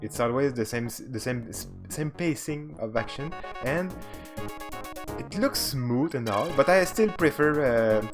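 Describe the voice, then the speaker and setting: A man streaming a retro game live, commenting in a halting way with many restarts. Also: mid-range voice, expressive, music in the background.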